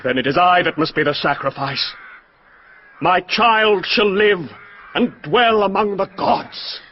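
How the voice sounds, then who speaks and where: An actor playing a fanatical British killer obsessed with ritual sacrifice, in a solemn, theatrical radio drama style. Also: male voice, high voice, a little slow. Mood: frenzied exaltation. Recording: muffled radio recording with hiss.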